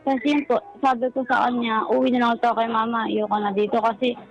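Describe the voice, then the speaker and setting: A woman's voice: on the high side, little pitch movement, fast, muffled recording. A woman with a Filipino accent calling in to a talk show, recounting personal troubles in a polite, deferential way. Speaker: caller. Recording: background music under the voice. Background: music.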